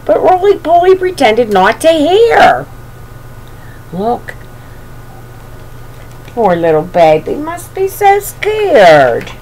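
A woman's voice: high voice, very animated, takes it slowly, pauses often, a little mellow. An older woman reading a storybook aloud and chatting affectionately to young children. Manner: affectionate, talking to small children. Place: small bedroom indoors. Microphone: webcam at arm's length. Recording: noisy home webcam recording.

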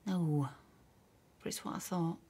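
An actress in a radio drama playing a wounded, suspicious estranged wife with a husky voice and dry sarcasm. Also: female voice, lowish voice, unhurried, mellow. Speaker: actor.

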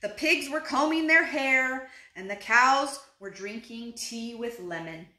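A woman in her thirties reading a children's picture book aloud expressively, deliberately phrased, now and then putting questions to young listeners. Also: on the high side, expressive, pushed and bright. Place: living room indoors, seated on a couch. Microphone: camera a short distance away. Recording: roomy indoor echo.

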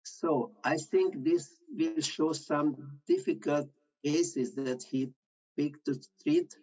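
A man giving an unrehearsed medical slide presentation, improvising his commentary in a halting way. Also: a little high, fairly even intonation, a little mellow, breathy edge. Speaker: conference presenter. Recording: dull, muffled lo-fi audio.

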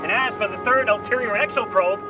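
A man's voice: sits high, fairly quick, muffled recording, lightly acted. A man playing an earnest, fussy inventor and scientist ally in a video game, speaking in technical, urgent terms. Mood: fussy, flustered. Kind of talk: video game cutscene acting. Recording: loud music behind, distant-sounding voice.

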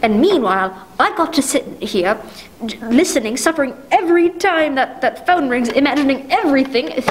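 Woman playing a high-pitched, fretful invalid wife. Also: lively intonation. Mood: indignant, exasperated. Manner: fretful, stammering, halting. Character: nervous, demanding bedridden invalid wife, querulous and imperious. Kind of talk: stage adaptation of a 1940s radio thriller. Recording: noticeable background noise.

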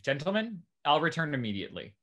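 An actor playing a genial, courteous young gentleman in love, in a Restoration stage comedy over a video call. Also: fairly high pitch, sing-song, fast talker. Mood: confiding. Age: young adult.

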